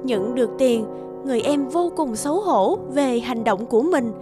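High-voiced woman narrating a fairy tale. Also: animated, moderate pace, over music.

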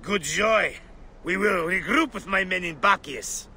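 Fervent rebel leader, very bright, forceful voice. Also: male voice, high voice, a little slow, slight background noise, exaggerated voice. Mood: resolute, plotting. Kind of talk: dramatic game cutscene dialogue.

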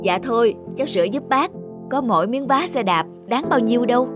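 A man's voice: very high voice, expressive, a little fast. Honest working-class father for animation, kindly, firm and earnest, music behind the voice. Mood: sympathetic. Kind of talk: cartoon dubbing.

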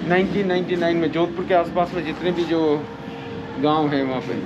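High-voiced man guiding a street walk. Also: fairly quick, lo-fi sound, noisy background. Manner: explanatory, mixes Hindi with English phrases. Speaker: heritage walk guide. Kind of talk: guided tour explanation. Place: outdoors in old city lanes. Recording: loud background music.

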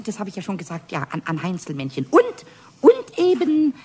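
A man in a 1970s radio play voicing a small, cheeky, childish kobold with a squeaky, raspy, stammering voice. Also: huge pitch swings, a little fast, mellow, a little hiss or hum, full character voice. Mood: indignant, contrary. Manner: stammering repetitions, argues with childlike logic.

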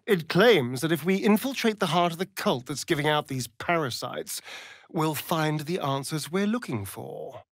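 An actor voicing a vain, sardonic vampire elf rogue in a video game, with an arch, drawling, theatrical delivery. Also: male voice, a little high, expressive, normal speed, no room sound, studio quality. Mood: measured, serious. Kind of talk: video game character voice lines.

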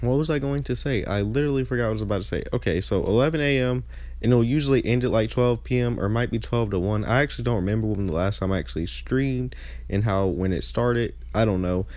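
A man's voice: mid-range voice, fast, a little mellow, no room sound. A young man hosting a gaming channel, chatting casually with a nasal, stuffed-up voice and often losing his train of thought. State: congested. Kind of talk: casual chat over gameplay.

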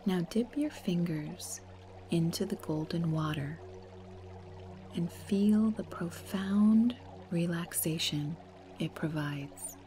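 A woman leading a guided meditation in a soft, breathy, soothing and unhurried way. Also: fairly low pitch, slight background noise. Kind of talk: slow guided meditation narration. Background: meditation music.

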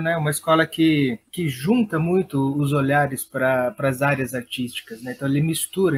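A man's voice: on the high side, a little hiss or hum. A man with a São Paulo Brazilian accent speaking casually as an interview guest, hesitant and often checking in as he explains. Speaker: guest, theatre director and playwright.

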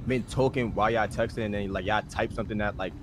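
A man's voice: fairly low pitch, fairly even intonation, quick pace. An American man in casual, slangy, rambling chat while roleplaying in a game. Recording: game street noise behind voice.

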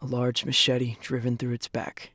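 A man's voice: medium pitch, completely flat delivery, moderate pace, pushed and bright, no room sound, clean studio sound. A young man acting as a weary, reflective survivor of a post-apocalyptic world in an audio drama. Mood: somber, reflective.

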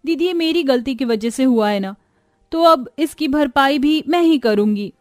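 A woman voicing a poor young village sister, earnest and expressive, in an animated moral story. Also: sits high, moderate pace, dry recording. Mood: encouraging.

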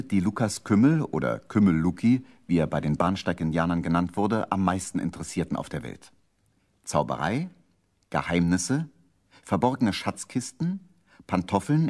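A male narrator with a low voice tells a seventies children's radio tale. His delivery is theatrical and emphatic, and his pitch moves in a lively, wide range. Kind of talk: scripted storytelling for a 1970s children's radio play.

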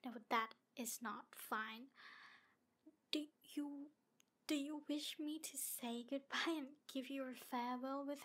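A woman voicing a jealous, lovesick female demon in an ASMR roleplay, halting and breathy, often slipping toward a whisper. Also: sits high, a bit bright. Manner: breathy, halting delivery with whispered phrases and giggles. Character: jealous, obsessive female demon in love, taunting and wounded. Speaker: audio roleplay performer.

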